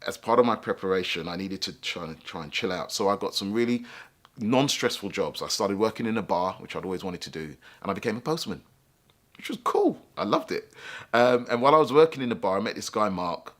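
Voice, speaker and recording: A man with a deep, low-pitched voice tells his own life story. He speaks reflectively and thoughtfully, often pausing to find the right words. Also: very animated, fairly bright tone. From England, London. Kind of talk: personal life story told to camera.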